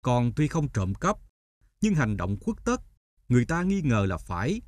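An actor playing a stern, wise older male teacher in an animated folk tale, speaking in a measured, didactic way. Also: medium pitch, no room sound, close to an everyday voice. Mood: stern, admonishing.

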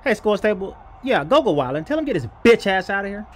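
Male comic for sports parody voiceover: exaggerated, slangy, swinging high and animated. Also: fast talker, background noise. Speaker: comedian voicing athletes and referees. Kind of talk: comedic voiceover dubbing over sports clips.

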